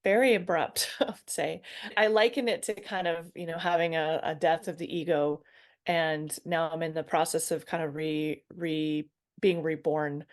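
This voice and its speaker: Low-voiced chatty middle-aged woman. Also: steady tone, moderate pace. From United States. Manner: chatty, self-deprecating, laughs easily. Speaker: guest. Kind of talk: casual conversational interview. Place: spare room at home. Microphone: video call.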